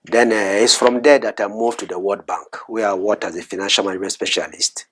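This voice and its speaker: A middle-aged man from Cameroon, a guest in an interview, talking in a measured, soft-spoken way with frequent hesitations. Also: on the low side, steady tone, slightly rough. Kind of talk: interview conversation.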